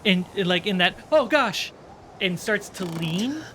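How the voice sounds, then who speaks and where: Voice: mid-range voice, lively intonation, takes it slowly, pushed and bright. A game master narrating a tabletop roleplaying game in descriptive, suspenseful storytelling. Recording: clear background noise.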